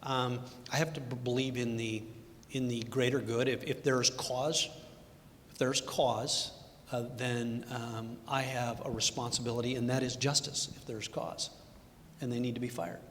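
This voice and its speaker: A middle-aged man, a bank executive, talking candidly on a panel, halting with many restarts and fillers. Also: little pitch movement. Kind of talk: candid personal reflection on a panel. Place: event hall. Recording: echoey hall, faint rumble.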